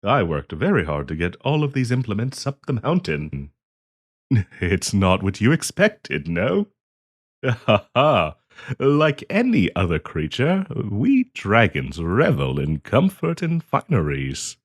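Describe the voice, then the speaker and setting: A man's voice: lowish voice, wildly swooping pitch, slow pace, heavily acted. A man playing a polite, hesitant half-dragon diplomat in a fantasy roleplay audio, genteel and eager to please as he hosts the listener. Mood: chatty, proud. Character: polite, flustered half-dragon diplomat hosting tea in his cave.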